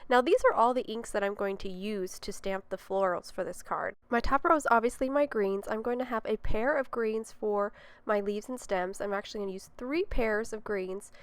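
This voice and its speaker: A woman's voice: medium pitch, normal speed, clean tone, no room sound. A young woman with a slightly congested voice, chatting upbeat through a step-by-step card-making tutorial. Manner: chatty and upbeat, explaining as she works. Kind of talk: step-by-step craft voice-over.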